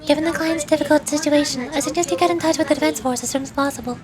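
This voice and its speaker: A woman's voice: high voice, very fast, slight background noise, lightly acted. A woman voicing a confident, helpful AI assistant in a video game, making precise, formal announcements. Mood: calm, procedural.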